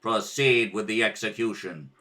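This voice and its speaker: A man's voice: lowish voice, normal speed, sharp tone, voice pushed down. A man playing a cruel, imperious tyrant emperor in a radio serial, gloating and commanding. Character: Ming the Merciless, menacing, cruel male tyrant emperor.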